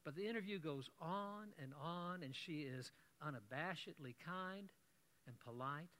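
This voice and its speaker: A man delivers a sermon as a lively story. His voice is bright and a little high for a man. He drops in wry asides to the congregation as he goes. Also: unhurried. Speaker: preacher.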